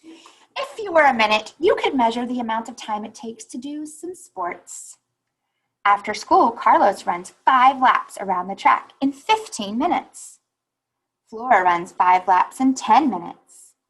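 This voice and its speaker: A woman reading a children's picture book aloud like a teacher, pausing to think aloud and explain. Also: a little high, sing-song, a bit bright, slightly rough, poor audio.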